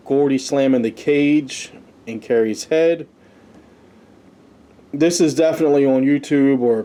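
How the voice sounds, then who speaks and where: A man's voice: on the high side, dark tone. A man hosting a podcast, giving casual conversational commentary as he reads through a list and adds his own asides. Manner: conversational, tossing in personal asides. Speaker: podcast host reviewing wrestling history.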